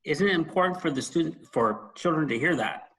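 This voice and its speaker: A man hosting a conversational podcast about books, speaking emphatically and pausing for dramatic effect. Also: on the high side, lively intonation, a bit bright, low-quality recording. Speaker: podcast host. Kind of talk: conversational book discussion.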